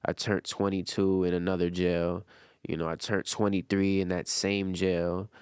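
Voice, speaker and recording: A young man, loose and rambling, full of slang. His voice sits low with a smooth, resonant tone. The sound is close and dry. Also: level tone, moderate pace, darkish tone, no room sound. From Brooklyn, New York. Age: 20s. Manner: loose, rambling, full of "you know what I'm saying" and slang. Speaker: guest, rapper. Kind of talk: casual interview chat.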